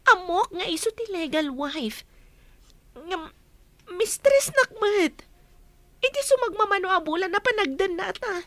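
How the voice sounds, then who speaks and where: A woman's voice: very high voice, lively intonation, a little slow, long pauses, a bit bright, heavily acted. A woman with an Ilocano accent playing a clinging, lovesick mistress in a radio drama, pleading emotionally. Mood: hurt pleading.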